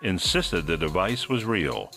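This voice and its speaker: A man's voice: low voice, very animated, unhurried, gravelly, bright, forceful tone, faint music behind. A man hosting a podcast, reading scripted mystery narration in a suspenseful, theatrical manner. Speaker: podcast host.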